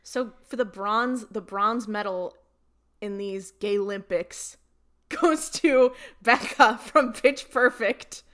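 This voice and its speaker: A woman hosting a casual group podcast, chatty and playful and quick to laugh. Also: on the high side, fairly bright tone. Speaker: podcast host.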